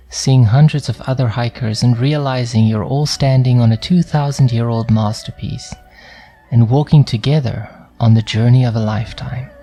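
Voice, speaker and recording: Mellow male commercial voice-over. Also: mid-range voice. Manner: smooth, evocative, unhurried read with rhetorical questions. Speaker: voice-over artist.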